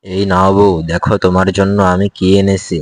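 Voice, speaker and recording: Man playing a low-voiced harried fox husband. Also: monotone, a little fast, no echo, close to an everyday voice. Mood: appeasing. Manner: pleading, placating. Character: harried male fox husband caught between two wives. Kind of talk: Bengali cartoon voice acting.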